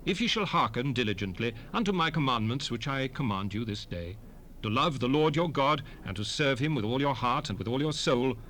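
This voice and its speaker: An actor playing a solemn, commanding prophet addressing his people in a dramatized scripture reading, with measured, declamatory phrasing. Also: mid-range voice, lively intonation, fairly bright tone, natural voice. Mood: solemn exhorting. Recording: noisy 1970s cassette rip, ambient background.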